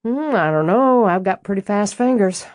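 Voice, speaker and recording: A woman's voice: medium pitch, animated, normal speed, a little mellow, dry recording, pitched above the performer's own voice, lightly acted. A woman voicing an easygoing, flirtatious man. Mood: teasing. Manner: drawling, teasing. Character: easygoing flirtatious southern man, teasing landscaper.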